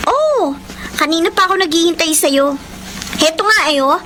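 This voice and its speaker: A woman's voice: very high-pitched, lively intonation, normal speed, background noise. An actress playing a jealous, long-suffering, combative girlfriend in a Filipino radio drama, emotional and scolding. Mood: jealous nagging.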